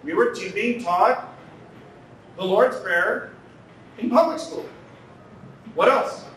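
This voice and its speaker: A man's voice: sits high, takes it slowly, a bit bright, a little hiss or hum. A Canadian man from Toronto giving an earnest conference talk at a podium, repeating key lines for emphasis. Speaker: conference speaker. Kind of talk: lecture at a podium. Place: large banquet hall, indoors. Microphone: podium mic from a distance. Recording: echoey hall, mic some distance off.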